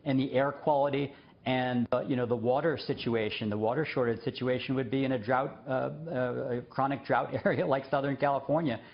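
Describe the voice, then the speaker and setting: A man's voice: mid-range voice, steady tone, fairly quick, gravelly, highs cut off. A man appearing as a guest on a news show, speaking earnestly with frequent fillers and short laughs. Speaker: guest, journalist and former diplomat. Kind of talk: remote interview.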